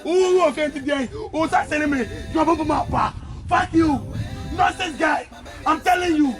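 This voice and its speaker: A man playing a hot-headed underling in a comic skit, loud and excitable, repeating words and cursing when angry. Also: mid-range voice, slow pace, gravelly. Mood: furious. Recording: guitar music playing over dialogue. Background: guitar music.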